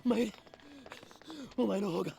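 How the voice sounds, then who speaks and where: Desperate young hero, visual novel. Urgent, strained, gravelly delivery, crowd noise behind. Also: male voice, high-pitched, fast. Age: young adult. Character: desperate young man fleeing enemies, protective of his friend. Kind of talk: Japanese visual novel voice acting.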